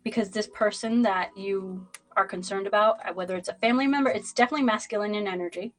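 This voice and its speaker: A woman with a mid-pitched voice reads cards for a live audience online. She is blunt and emphatic, speaking directly to the listener with a dramatic, informal delivery. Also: fast talker. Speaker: tarot reader hosting a livestream. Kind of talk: informal live zodiac card reading.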